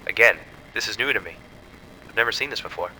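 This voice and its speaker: An actor playing an uneasy research scientist in a horror audio drama, explaining findings in an earnest, tentative, analytical way. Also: male voice, lowish voice, fast, pushed and bright, slight background noise, low-quality recording. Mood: concerned. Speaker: scientist's assistant.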